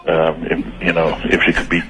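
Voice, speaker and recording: A man's voice: low voice, completely flat delivery, moderate pace, background noise. A man working at a funeral home, talking on a phone call in an accommodating, somewhat hesitant way. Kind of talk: phone conversation.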